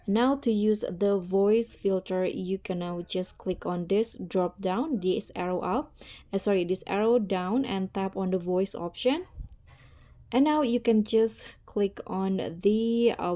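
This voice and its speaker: A woman hosting a casual step-by-step tutorial, narrating in a halting, deliberate way. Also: medium pitch, warm tone. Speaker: tutorial host.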